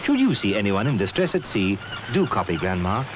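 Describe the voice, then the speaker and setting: A man's voice: on the low side, big pitch swings, fairly quick. A British man narrating a 1970s public information film, telling a story in an instructive way. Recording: muffled, noisy 1970s film soundtrack.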